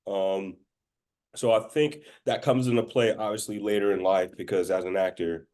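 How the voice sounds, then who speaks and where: Male podcast guest, low voice, from the rural American South, relaxed informal storytelling. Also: moderate pace. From southern United States, rural Georgia and Florida Panhandle. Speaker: interview guest, actor. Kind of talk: casual conversational storytelling.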